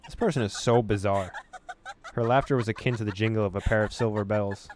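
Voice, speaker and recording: A man's voice: fairly low pitch, brisk, a little mellow, slight background noise. Man reading a game story aloud dramatically. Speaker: gaming video host. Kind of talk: reading game subtitles aloud with casual reactions.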